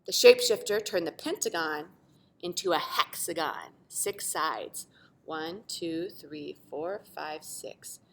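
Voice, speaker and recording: A woman's voice: low-pitched, slow, gravelly, slight background noise. A woman reading a children's picture book aloud like a teacher, with dramatic emphasis and asides to young listeners. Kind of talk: expressive children's storybook read-aloud.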